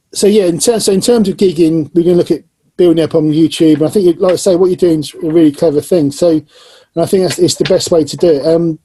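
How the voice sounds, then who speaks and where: A man from Northamptonshire hosts a relaxed conversation, with chatty remarks and laughing asides. His voice is high yet mellow and husky in tone. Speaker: host. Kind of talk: casual interview chat.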